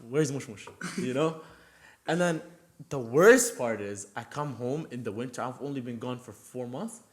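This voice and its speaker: A young man co-hosting a podcast, telling casual anecdotes in an animated, joking, slangy way. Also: medium pitch, normal speed. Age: young adult. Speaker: podcast co-host.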